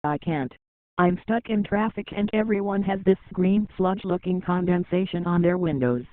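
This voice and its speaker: A man's voice: high-pitched, normal speed, dry recording. A man playing an anxious spouse stuck in traffic, heard through a phone filter in an amateur drama. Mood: uneasy. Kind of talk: amateur audio drama acting. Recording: dry, tinny phone line.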